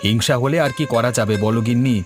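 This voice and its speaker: A man's voice: mid-range voice, steady tone, fast talker, close to an everyday voice. A man voicing a cheerful, hardworking male biryani shop owner in a cartoon. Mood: earnest. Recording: background music.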